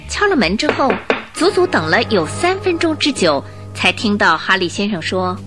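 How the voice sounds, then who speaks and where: Woman, radio drama narrator, animated mid-pitched voice, suspenseful, expressive scripted storytelling. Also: moderate pace. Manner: expressive, suspenseful, rhetorical questioning. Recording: faint music behind narration. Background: faint music.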